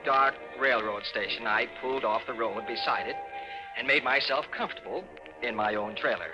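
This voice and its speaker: A man's voice: medium pitch, lightly acted, rough recording. An actor playing a desperate young everyman, a man telling his own story dramatically in 1950s radio style. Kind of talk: 1950s radio narration acting. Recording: old 1950s radio recording.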